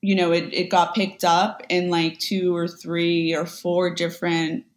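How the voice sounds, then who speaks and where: A woman's voice: lowish voice, monotone, unhurried, roomy sound. A woman talking casually as an interview guest, rambling with lots of fillers. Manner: chatty, rambling, many fillers. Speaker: guest, online seller.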